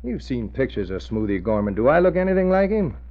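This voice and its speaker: An actor playing a cocky, scheming bank robber gang boss in an old-time radio drama, curt, tough-talking and sneering. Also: male voice, mid-range voice, big pitch swings, brisk. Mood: defiant. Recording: muffled, noisy old radio recording.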